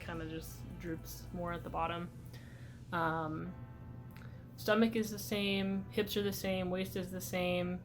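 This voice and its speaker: A young man talking casually in a video diary update, chatty and relaxed, with many "like" and "um" fillers. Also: high voice. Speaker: vlogger.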